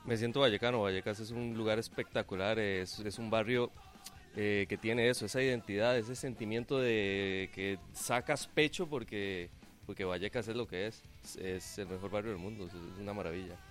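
A man's voice: lowish voice, moderate pace, faint music behind. A man with a Costa Rican Spanish accent, chatting enthusiastically as a musician in an interview, repeating phrases for emphasis. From Costa Rica. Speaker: band drummer interviewed. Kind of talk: casual interview chat.

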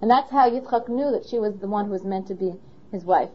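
A woman's voice: mid-range voice, fast, slightly rough. Woman, Torah teacher, patient questioning delivery, dull recording. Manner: asks the listener questions, patient. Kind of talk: explaining a Torah lesson.

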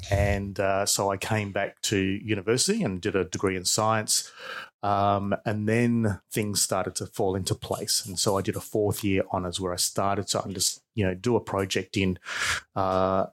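A middle-aged Australian man with a fairly low voice. He speaks as an expert guest, explaining his field in an informal, conversational way. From Perth, Australia. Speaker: expert guest, professor and researcher.

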